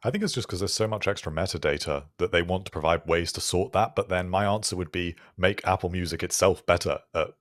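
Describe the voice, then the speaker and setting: A man co-hosting a podcast, thinking aloud in a measured, casual, analytical way. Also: sits low, no echo, studio quality. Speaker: podcast co-host.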